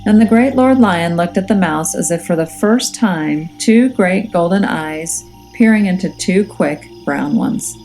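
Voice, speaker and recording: A woman reading a children's story aloud as a teacher, in a measured, expressive and suspenseful way. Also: fairly low pitch. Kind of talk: dramatic children's storybook narration. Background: music and nature sounds.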